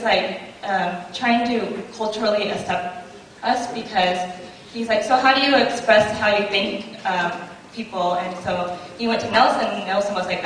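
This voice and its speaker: A woman's voice: medium pitch, a bit bright. Young woman telling her story to a crowd, lots of um and like, far off mic in a super echoey hall, people and noise around. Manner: hesitant, chatty, laughs through awkward parts. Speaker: conference attendee giving testimony. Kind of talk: personal testimony to an audience. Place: large indoor hall.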